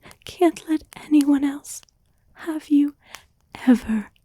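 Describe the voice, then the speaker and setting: A woman playing an obsessive, wounded, possessive girlfriend in an intimate, halting, pleading ASMR whisper. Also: high-pitched, dark tone, no room sound. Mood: desperate, possessive. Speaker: ASMR voice actor. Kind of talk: whispered ASMR roleplay monologue.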